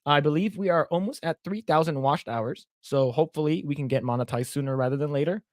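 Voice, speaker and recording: A man's voice: a little high, fast talker, a little mellow, no echo, studio quality. A young man co-hosting a podcast, chatting in a rambling, blunt way with casual swearing. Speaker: podcast co-host. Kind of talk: casual chat.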